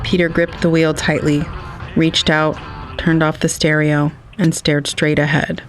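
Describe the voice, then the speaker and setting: A man reading scripted literary narration for an audio drama in a measured, wistful manner. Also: on the high side, level tone, soft background music.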